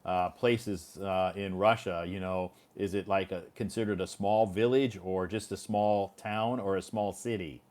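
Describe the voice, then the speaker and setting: A man's voice: low voice, steady tone, unhurried, hardly any pauses, darkish tone. A middle-aged man hosting a casual interview, chatty and rambling with frequent restarts. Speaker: host.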